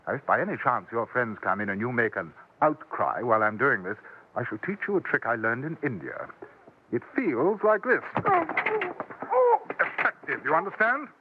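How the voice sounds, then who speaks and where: An actor playing a courteous, coolly dangerous English gentleman adventurer in a 1950s radio western, speaking formally with a British accent on an old broadcast recording. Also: medium pitch, big pitch swings, moderate pace, narrow, tinny audio, a little hiss or hum, close to an everyday voice. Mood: politely menacing. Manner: formal, polite, precise. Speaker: lead character and narrator.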